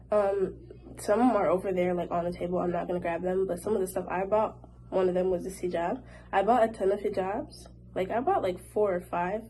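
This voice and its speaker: A woman's voice: mid-range voice, mellow, a little hiss or hum, rough recording. A young woman vlogger chatting casually to camera in a Q&A, her voice a little off from a cold, with many fillers like "like". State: recovering from a cold, voice slightly off.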